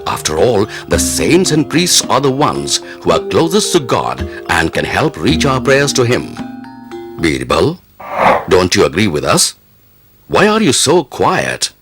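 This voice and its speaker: A man acting a proud, easily offended Mughal emperor in a pompous, commanding manner for an animated moral tale. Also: lowish voice, unhurried, music in the background. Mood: self-satisfied.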